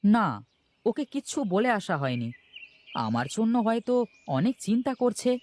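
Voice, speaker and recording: Man playing a lively, gluttonous cartoon nephew. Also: high voice, moderate pace. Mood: anxious. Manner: boastful, exclaiming. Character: boastful, gluttonous, henpecked village nephew, a married man. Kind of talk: cartoon character dialogue. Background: music.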